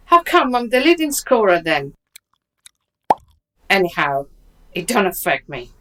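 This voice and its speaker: A woman's voice: medium pitch, big pitch swings, a little slow, noisy background. Radio play: thick-headed, stroppy sportswoman played by a woman, medium register, blunt and defiant. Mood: indignant, defiant. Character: brash, dim, stroppy female footballer. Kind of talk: radio comedy drama acting.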